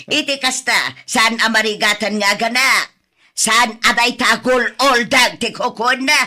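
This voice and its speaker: A woman's voice: a little high, steady tone, measured pace. A woman playing a harsh, nagging older mother-in-law in a radio drama. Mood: angry, dismissive. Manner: nagging, sharp-tongued.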